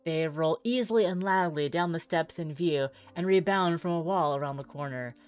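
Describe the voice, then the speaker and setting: Woman streamer reading visual novel out loud, kinda low voice, dramatic and wry, bit of music behind. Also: moderate pace. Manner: theatrical, wry, exclaiming asides. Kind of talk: reading game text aloud with joking asides.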